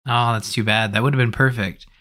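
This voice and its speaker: A man's voice: mid-range voice, steady tone, brisk, sharp tone. A man hosting a podcast in a casual, conversational way, thinking aloud as he goes. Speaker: podcast host.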